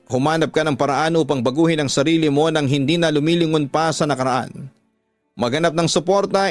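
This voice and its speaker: A man with a Filipino accent narrating as a radio drama host, in an earnest, measured, sentimental way. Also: fairly high pitch, no echo. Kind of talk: dramatic scripted narration over music. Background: background music.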